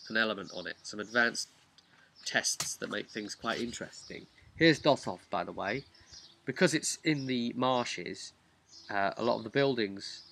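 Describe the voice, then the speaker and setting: A man speaking as a game master, casually recapping a tabletop role-playing session in a halting, conversational way with emphasis on key words. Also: lowish voice, bright, forceful tone.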